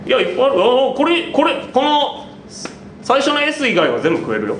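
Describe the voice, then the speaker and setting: A man's voice: huge pitch swings, fairly quick, fairly bright tone. A man in his thirties hosting a casual game show in a playful, teasing way. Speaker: quiz master on a web variety show. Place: small indoor studio, close to camera. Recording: noisy, echoey low-quality live webcast.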